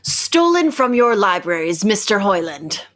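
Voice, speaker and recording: A woman playing a knowing, imperious rebel captor who speaks deliberately and probingly in a radio sci-fi drama. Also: medium pitch, sing-song. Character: commanding, knowing rebel captor challenging orthodox belief. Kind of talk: cold-read old-time radio sci-fi drama.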